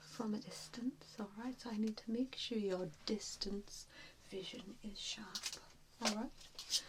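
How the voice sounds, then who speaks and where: A woman doing an ASMR roleplay in a breathy whisper, speaking in a gentle, hesitant, reassuring way. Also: medium pitch, low-quality recording. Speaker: ASMR roleplayer playing an assessor. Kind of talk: ASMR roleplay, softly whispered personal attention.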